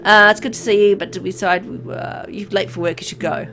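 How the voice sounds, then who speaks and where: Withdrawn, brooding dying man, read by a woman, visual novel character, clear music bed. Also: mid-range voice, steady tone, fairly quick, lightly acted. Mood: guarded.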